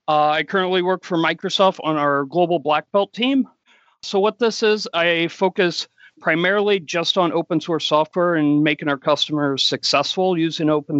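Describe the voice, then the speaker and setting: A man speaking as a guest in a podcast interview, explaining his points in a steady way. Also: a little high, moderate pace, dry recording, lo-fi sound. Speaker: guest, cloud architect.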